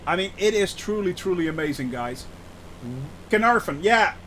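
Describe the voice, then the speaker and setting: A man with a thick Dutch accent chats with his live-stream viewers in a high, light voice. He talks in a halting way, restarting often and laughing easily. A faint hum sits under the recording. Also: lively intonation, a bit bright, rough recording. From Netherlands. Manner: chatty, hesitant, many restarts, laughs often. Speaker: flight sim streamer. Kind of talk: casual live-stream chat.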